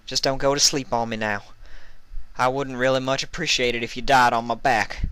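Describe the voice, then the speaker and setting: A man's voice: medium pitch, fairly even intonation, moderate pace, pushed and bright, clean tone, no echo, voice pushed up, natural voice. A man voicing a brave, caring country mare pony in a story reading, with an urgent manner. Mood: soothing. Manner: folksy, pleading and exclaiming.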